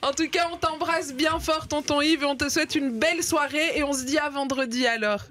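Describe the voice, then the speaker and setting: A woman's voice: high voice, brisk, a bit bright. A woman co-hosting a live radio show, chatting playfully, laughing often and joking with callers and guests. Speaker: radio co-host. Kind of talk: casual radio chat. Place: radio studio. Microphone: studio microphone.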